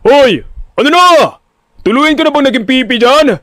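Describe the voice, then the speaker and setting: A man's voice: very high-pitched, animated, normal speed, fairly bright tone, no echo. A man playing an angry, money-hungry debt-collection boss who scolds and demands in an audio drama. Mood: irate, scolding. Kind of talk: Filipino audio drama acting.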